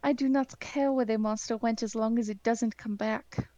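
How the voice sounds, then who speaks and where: A woman's voice: on the high side, fairly even intonation, moderate pace, mellow, no room sound, dull audio, lightly acted. A woman voicing an affectionate young woman with a put-on French accent. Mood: earnest.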